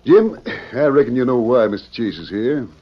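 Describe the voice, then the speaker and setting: An actor playing a reluctant, sympathetic sheriff in a radio western, folksy and hesitant with a husky voice. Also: male voice, mid-range voice, expressive, normal speed, slight background noise, natural voice. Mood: apologetic. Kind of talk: 1940s radio western acting. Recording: dull old radio recording.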